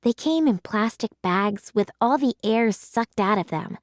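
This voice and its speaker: A woman narrating a children's story in an animated, emphatic storytelling manner. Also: on the high side, measured pace, dry recording, clean studio sound. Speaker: read-aloud storyteller. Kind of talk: expressive children's audiobook narration.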